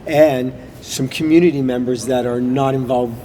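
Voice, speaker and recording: A man who directs theatre talks with enthusiasm in a casual interview. His voice sits at an ordinary middle pitch. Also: fairly even intonation, moderate pace, dark tone, slight background noise.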